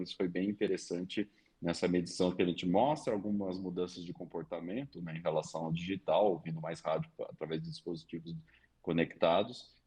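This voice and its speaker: A man's voice: low voice, a little mellow, breathy edge, low-quality recording. A man with a Brazilian Portuguese accent, a regular commentator on a podcast, explaining radio market research and often tagging sentences with small fillers. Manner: explanatory, leans on tag questions and fillers.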